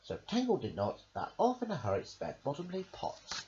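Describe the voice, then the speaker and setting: A man's voice: high-pitched, wildly swooping pitch, brisk, darkish tone. A man reading rhyming children's stories aloud in a rhythmic sing-song way, drawing out the ends of phrases. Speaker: amateur storybook reader. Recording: dull lo-fi recording, faint noise.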